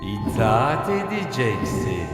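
Casting: commanding cartoon hypnotist, slow incantations, huge echo, loud music underneath. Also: male voice, mid-range voice, big pitch swings.

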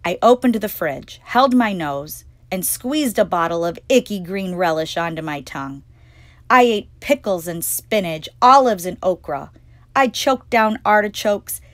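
Lady doing kids storytime read aloud, super animated and expressive, normal pitch, dry sound. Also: measured pace, no room sound. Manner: expressive, clearly phrased storytelling. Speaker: storytime reader and host. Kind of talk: animated children's picture book read-aloud.

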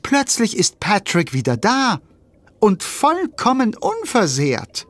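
A man's voice: sits high, huge pitch swings, slow pace, noisy background, heavily acted. A man narrating a children's audio play in a wry storytelling manner, speaking to the listener.

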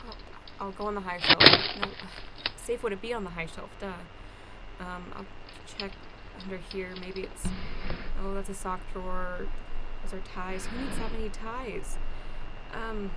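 Woman as a nervous girl over loud noise. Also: mid-range voice, steady tone, unhurried, pauses often, natural voice. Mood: anxious searching. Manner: hesitant self-narration, muttering. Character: nervous young girl snooping in a manor. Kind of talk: improvised radio play acting.

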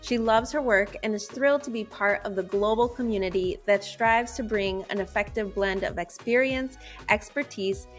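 A woman's voice: mid-range voice, moderate pace, faint music behind. A woman hosting a podcast, reading an intro and then talking with a guest over a video call on a headset, upbeat and grateful. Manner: upbeat, warm thanks to guest. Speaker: podcast host. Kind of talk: scripted intro, then interview. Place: home office.

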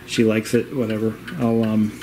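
A man narrating a vintage radio repair in a casual, rambling, halting and plain-spoken way, as a hobbyist vlogger. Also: on the low side, completely flat delivery. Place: indoors in a home. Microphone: handheld camera, operator behind it. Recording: clear background room noise.

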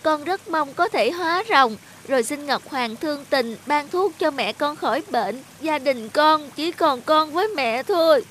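A woman voicing a small, young talking fish in an animated fairy tale, expressive and earnest. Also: sits high, measured pace, few pauses, slight background noise. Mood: pleading, earnest.

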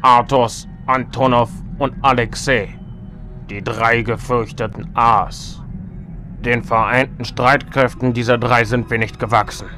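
An actor playing a formal, grave imperial officer in a sci-fi audio drama. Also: male voice, medium pitch, fairly even intonation, a little slow, sharp tone, faint music behind. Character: male imperial officer, formal bearer of grim news.

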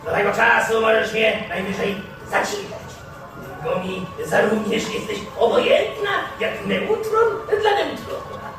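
An actor playing a vain, crude, irritable old vampire count in a live comic horror play, with a pompous, exclamatory delivery. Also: male voice, high voice, lively intonation, a little slow, gravelly, exaggerated voice. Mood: scornful. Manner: pompous, exclamatory, theatrical ranting. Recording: echoey stage hall, distant, music behind.

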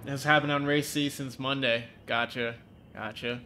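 Young male streamer, congested, bright and forceful, chatty and joking with chat, home desk mic, faint hiss. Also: mid-range voice, steady tone, normal speed. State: congested, stuffed-up nose. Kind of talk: casual live-stream chat with viewers. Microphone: desk mic, webcam framing.

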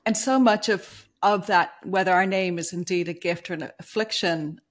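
Dublin-born woman, low, thoughtful and laughing. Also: normal speed. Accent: Irish, Dublin. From Dublin, Ireland. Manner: thoughtful, laughs easily, many fillers. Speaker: author guest. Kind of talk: conversational podcast interview. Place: home room, video call. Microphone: over-ear headphones, video call.

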